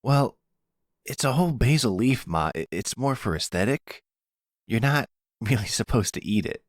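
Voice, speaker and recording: A man's voice: mid-range voice, animated, moderate pace, dry recording, studio quality, pitched above the performer's own voice, close to an everyday voice. A man playing a young son in a roleplay audio drama, casual, teasing and blunt, protective of his family. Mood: earnest, amused. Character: cheeky, protective young son, an aspiring chef.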